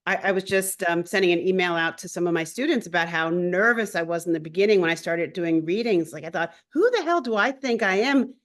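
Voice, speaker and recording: A woman's voice: on the low side, fairly quick, hardly any pauses, a bit bright. A woman who hosts an astrology show, interviewing a guest in an affirming, earnest way. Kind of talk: interview conversation.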